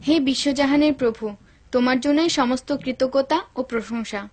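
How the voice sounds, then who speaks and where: A woman playing a gentle, pious, grateful wife in a dubbed cartoon, speaking politely and devoutly. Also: sits high, a little fast.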